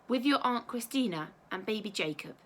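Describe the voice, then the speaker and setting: A woman voicing an apologetic, coaxing mother in a children's storybook, gently persuasive and affectionate. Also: on the high side, expressive, moderate pace, slight background noise. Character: apologetic, coaxing mother of a nine-year-old.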